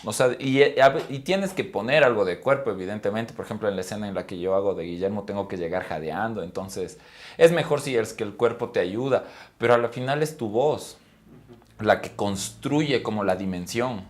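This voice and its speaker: Hombre de voz algo grave. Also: male voice, on the low side, a little fast. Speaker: actor. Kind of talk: casual interview talk.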